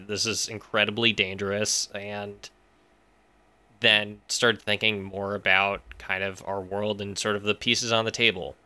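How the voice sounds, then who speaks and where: A man explains things in casual conversation as an interview guest. His voice is fairly low yet bright and forceful in tone, and he pieces his points together aloud. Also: smooth. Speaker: podcast writer, interview guest.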